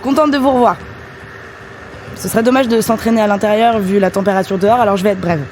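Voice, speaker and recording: A woman's voice: mid-range voice, fast talker, a little mellow, slight background noise, close to an everyday voice. A woman playing a determined, direct athletics coach in a French audio drama. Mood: brisk, encouraging.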